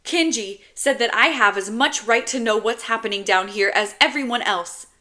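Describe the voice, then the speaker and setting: A woman's voice: high voice, animated, measured pace, pushed and bright, pitched above the performer's own voice, heavily acted. A woman voicing a stubborn, emotional ten-year-old boy in an audiobook. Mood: frustrated, hurt. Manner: protesting, pestering, emotional.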